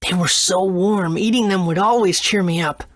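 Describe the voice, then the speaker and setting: A woman voicing an earnest, gentle young boy hero in a video game, with a husky tone. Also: fairly low pitch, moderate pace, no echo, lightly acted. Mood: wistful.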